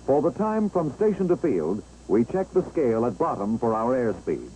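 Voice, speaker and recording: An American man narrates an old 1960s training film with a mellow, relaxed tone. His pitch moves in a lively way as he explains each step in a measured manner. An engine-like noise sits faintly behind him on the aged soundtrack. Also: poor audio.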